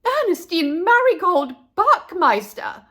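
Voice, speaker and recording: A woman's voice: very high-pitched, big pitch swings, takes it slowly, bright, forceful tone, pitched above the performer's own voice, exaggerated voice. A woman voicing a fretful, frazzled storybook mother who calls out anxiously. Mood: exasperated. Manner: calling out anxiously. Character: fretful, frazzled mother searching for her daughter.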